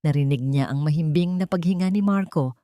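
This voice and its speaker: Female narrator, Filipino accent, lower register, scripted drama storytelling, dry recording. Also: a little fast, warm tone, no room sound.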